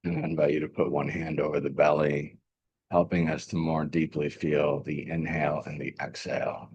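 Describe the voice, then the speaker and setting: A man leading a live guided meditation as a teacher, speaking gently and deliberately, repeating phrases and leaving long pauses. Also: rumbling low, level tone, slightly rough, dull audio. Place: live online video meetup.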